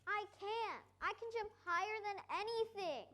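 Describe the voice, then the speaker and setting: A woman's voice: very high-pitched, normal speed, fairly bright tone. A woman playing a shy, defensive little female toy rabbit in a storybook readers' theater, childlike and earnest. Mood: defensive, boastful.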